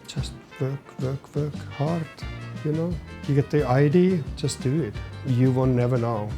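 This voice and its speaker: A middle-aged man from Finland with a Finnish accent, a chef answering interview questions in halting English, searching for words as he goes. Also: medium pitch, vocal fry, faint music behind. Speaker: chef and business owner.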